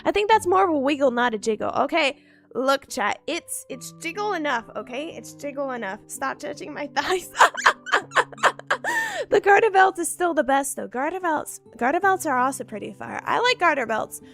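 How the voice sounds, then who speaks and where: Playful high-voiced woman streamer, lively. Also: normal speed, creaky. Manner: playful, giggly, repeats herself a lot. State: just woken up, groggy. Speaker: VTuber streamer. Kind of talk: casual chat stream talking to viewers. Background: faint background music.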